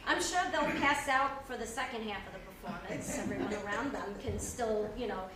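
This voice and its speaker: A woman playing a jolly, teasing food service worker in a staged play reading, rambling haltingly with chuckles. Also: medium pitch, crowd noise, natural voice. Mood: merry, mischievous. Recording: echoey hall, distant mic, background noise.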